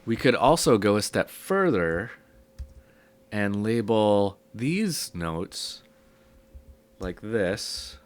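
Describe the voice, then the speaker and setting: A man who is sick, his voice low and rough, teaching a casual music theory lesson and thinking aloud with frequent ums. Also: big pitch swings, very slow, pauses often.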